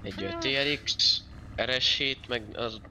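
Bright-voiced man in casual game chat. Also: medium pitch, a little hiss or hum.

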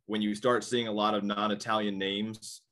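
A man's voice: lowish voice, level tone, moderate pace, fairly bright tone. A man in his thirties, a guest on a video call, talking casually in a rambling way with many restarts. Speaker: podcast guest. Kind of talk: casual interview chat. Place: small indoor room.

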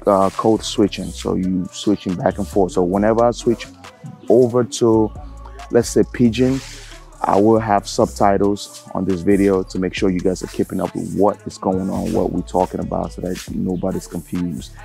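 A young man from Cameroon, talking casually to camera as a travel vlogger, relaxed and rambling with plenty of fillers. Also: low-pitched, normal speed, creaky, soft background music. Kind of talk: casual vlog talk to camera.

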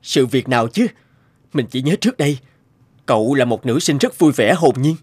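Cartoon role: earnest adult man, somewhat hesitant, very expressive intonation, average register. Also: medium pitch, moderate pace, husky, dry recording. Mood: puzzled. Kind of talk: cartoon dubbing.

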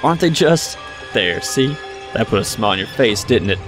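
A man playing a gentle fatherly stranger. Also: on the low side, expressive, moderate pace, lightly acted. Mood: coaxing. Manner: soft, coaxing and reassuring. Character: gentle, fatherly man soothing a sick boy. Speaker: game character, kindly stranger. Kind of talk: video game cutscene acting. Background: music.

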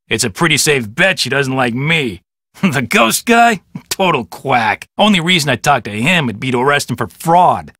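An actor playing a vain, boastful and defensive police detective in a video game, with an American accent and a self-important, dismissive manner. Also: male voice, a little high, pushed and bright, no echo, studio quality, full character voice. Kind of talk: video game character acting.

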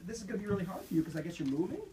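A man's voice: high-pitched, lively intonation, rapid-fire, crowd noise. A man hosting a live radio interview in a studio, upbeat and chatty, often repeating himself. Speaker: radio host.

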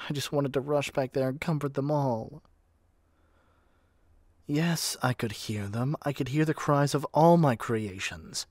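A man's voice: mid-range voice, a little slow, a little mellow, no echo, studio quality, lightly acted. A man playing a haughty, impatient god in an audio roleplay, with an imperious, theatrical way of talking. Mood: impatient, exasperated. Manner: imperious, theatrical, addresses listener as mortal. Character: haughty, impatient male god of magic rooming with a human.

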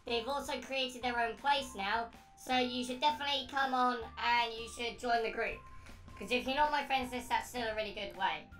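A woman hosting a gaming video with excitable commentary and frequent exclamations. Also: on the high side, moderate pace, faint music behind. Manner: excitable, prone to shrieking exclamations. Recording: roomy echo, distant mic.

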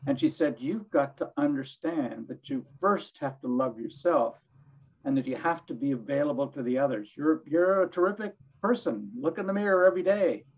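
An older man with a hoarse voice, speaking earnestly as a panelist on a webinar from his home study over a video call. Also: fairly high pitch, lively intonation, moderate pace, dull audio. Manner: earnest, rambling with restarts. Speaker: executive director, panelist.

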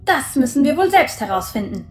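A calm artificial young woman. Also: high voice, fairly quick, roomy sound. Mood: thoughtful. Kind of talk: audio drama acting.

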